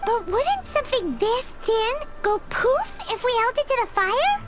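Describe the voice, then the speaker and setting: Tiny childlike fairy sidekick: extremely high, bouncy pitch, blunt, exclamatory game dialogue over loud music. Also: female voice, sing-song, a little slow, heavily acted. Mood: incredulous. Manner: exclamatory, refers to herself by name. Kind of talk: video game voice acting.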